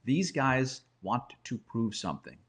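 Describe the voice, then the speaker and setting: Man, sports broadcaster, conversational analysis, mid-pitched voice, rhetorical questions, emphatic repeats. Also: sing-song, unhurried, slightly rough. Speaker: baseball broadcaster guest.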